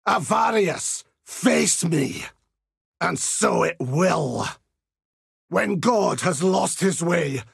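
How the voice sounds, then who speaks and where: A grim, pious holy warrior for a fantasy video game. His older voice is heavily gravelled and very husky, speaking slowly with solemn, righteous conviction. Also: a little high, fairly bright tone, dry recording, studio quality, heavily acted. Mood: righteous wrath. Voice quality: gravelly, raspy. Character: zealous, grim Templar holy warrior of God. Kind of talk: video game character voice lines.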